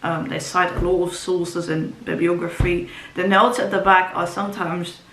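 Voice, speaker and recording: A woman's voice: lowish voice, flat delivery, moderate pace, a bit bright. Rambling woman. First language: Dutch. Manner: rambling, frequent ums and restarts. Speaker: book vlogger. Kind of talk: casual book talk to camera. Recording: roomy echo, faint ambience.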